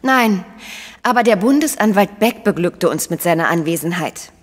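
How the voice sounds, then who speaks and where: A woman's voice: lowish voice, expressive, normal speed, long reverb tail. An actress playing an investigator's frank, sceptical female partner in a crime radio drama. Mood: subdued, uneasy.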